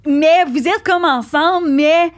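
A woman's voice: very high-pitched, measured pace. A woman with a Québécois French accent co-hosting a podcast, chatty and slangy, teasing the guest. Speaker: podcast co-host. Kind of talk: casual chat.

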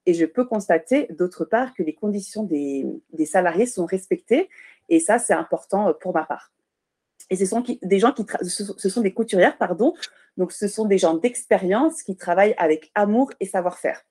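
A woman's voice: medium pitch, animated, darkish tone. A woman of Portuguese heritage, a guest on a podcast, explaining her product step by step and correcting herself as she goes. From Portuguese family. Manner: earnest, explanatory, self-correcting with frequent pauses. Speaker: guest, baby clothing brand founder. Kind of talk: podcast interview.

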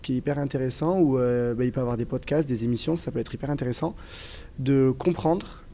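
A young man in his twenties with a southern French accent, answering questions in a relaxed interview. His voice is mellow and mid-pitched, and he talks haltingly, with frequent fillers and restarts. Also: slight background noise. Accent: southern French, Toulouse area. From southern France. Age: 20s. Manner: hesitant, many fillers and restarts. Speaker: guest. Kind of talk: casual interview conversation.

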